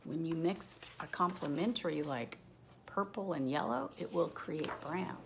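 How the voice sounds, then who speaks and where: A woman's voice: low-pitched, lively intonation, a little slow, gravelly, a little hiss or hum. A woman teacher reading a rhyming children's book aloud, with casual explaining asides spoken directly to young listeners.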